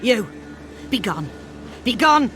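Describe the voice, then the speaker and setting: Casting: a gruff, muddled old granny in a fantasy game, unhurried, wildly varied pitch. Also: female voice, very animated, fairly bright tone, faint music behind, full character voice. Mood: scolding, suspicious. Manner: scolding, muttering, rambling. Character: addled, gruff old granny guarding orphans in a swamp. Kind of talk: video game character acting.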